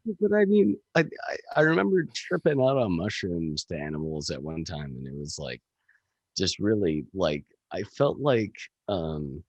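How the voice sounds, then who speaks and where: Gravelly low-voiced man, chatty podcast guest. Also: big pitch swings, moderate pace, darkish tone, no echo, dull audio. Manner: rambling, swearing, many fillers. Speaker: guest musician. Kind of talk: casual podcast chat.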